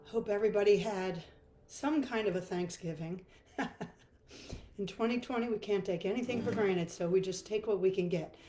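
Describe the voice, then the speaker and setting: An older woman with a hoarse, froggy voice, teaching a chatty guitar lesson live from her home music room. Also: medium pitch, normal speed, dark tone, roomy sound. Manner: chatty, self-deprecating, laughs easily. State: hoarse from laryngitis.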